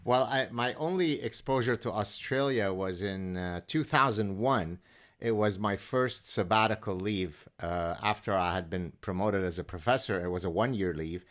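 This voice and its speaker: A man's voice: lowish voice, moderate pace, a bit bright. A middle-aged man, a professor hosting an interview, polite and inquisitive with the odd "uh". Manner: polite and inquisitive, occasional filler "uh". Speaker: podcast host and professor. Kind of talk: conversational interview.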